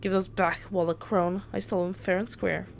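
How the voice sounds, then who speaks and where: A haughty young villainess of a fantasy video game, put on by a woman reading aloud. Her voice is heavy with creak and she taunts with cocky, theatrical relish. A faint noise sits behind her. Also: lowish voice, little pitch movement, normal speed. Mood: menacing taunts.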